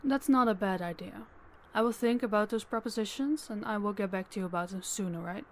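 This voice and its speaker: A woman's voice: mid-range voice, fairly quick, a little hiss or hum, close to an everyday voice. Woman acting as commanding, formal crime boss. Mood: measured, brisk. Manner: formal, authoritative, clipped. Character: commanding, demanding female crime boss, coolly businesslike. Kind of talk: roleplay audio drama acting.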